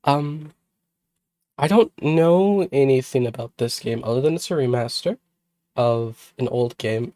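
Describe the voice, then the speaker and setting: Sick, hoarse rambling woman streamer. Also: extremely low pitch, mellow, no echo. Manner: rambling, many fillers and restarts. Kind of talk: casual livestream chat.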